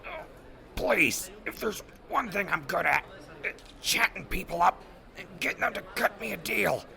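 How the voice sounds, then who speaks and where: A man's voice: sits high, animated, normal speed, creaky, pushed and bright, heavily acted. A man playing a weary, good-natured wasteland traveler in an audio drama, an earnest and placating peacemaker. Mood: cocky, sheepish. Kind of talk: post-apocalyptic audio drama acting.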